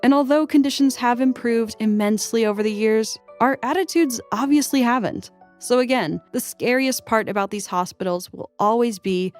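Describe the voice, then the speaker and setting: A woman's voice: fairly high pitch, moderate pace, hardly any pauses. A woman narrating a scripted video as its creator. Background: faint background music.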